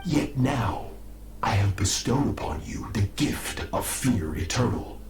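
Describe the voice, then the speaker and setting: An actor playing a cruel, imperious master in a video game, speaking in a solemn, commanding manner. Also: male voice, mid-range voice, lively intonation, measured pace, darkish tone, a little hiss or hum. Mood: grave, menacing. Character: cruel, imperious dark master training a child. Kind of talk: dramatic video game voice acting. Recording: echoey game audio with music behind.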